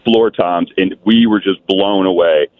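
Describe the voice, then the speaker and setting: A man's voice: deep voice, fairly even intonation, unhurried, slight background noise. A man calling into a radio show, talking enthusiastically about a band he saw live. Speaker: caller. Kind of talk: call-in chat. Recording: phone line.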